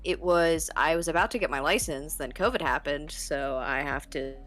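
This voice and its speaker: A young woman in her twenties with a deep voice, chatting casually and rambling on a live stream. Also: normal speed, fairly bright tone. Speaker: streamer guest.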